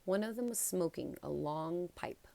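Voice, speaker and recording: A woman's voice: fairly low pitch, lively intonation, moderate pace, gravelly, dark tone, dry recording. A woman narrating a children's novel as a read-aloud. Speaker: storybook narrator.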